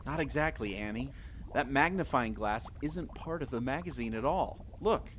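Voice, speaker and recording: A man's voice: medium pitch, lively intonation, moderate pace, a bit bright, a little hiss or hum, lightly acted. A man playing an eager, clever and confident adventurer in an audio drama. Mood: intrigued. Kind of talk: scripted audio adventure acting.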